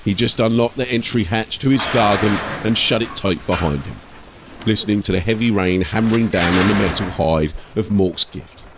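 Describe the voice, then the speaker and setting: A man's voice: fairly low pitch, normal speed, background noise. A man narrating from a script as an audiobook storyteller and host.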